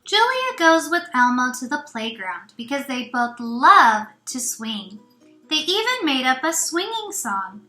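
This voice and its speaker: A woman reads a children's storybook at a slow pace, as a teacher does for young listeners. Her voice is high and very lively, and she adds encouraging asides. The room is echoey. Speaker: teacher reading aloud. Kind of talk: children's storybook read-aloud. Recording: roomy echo, faint music.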